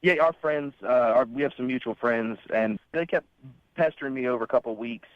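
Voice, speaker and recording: A man's voice: mid-range voice, brisk, no room sound. A man calling in to a radio show by telephone, casually recounting things in an earnest, slightly hesitant way. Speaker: phone-in caller. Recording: dull, lo-fi phone line.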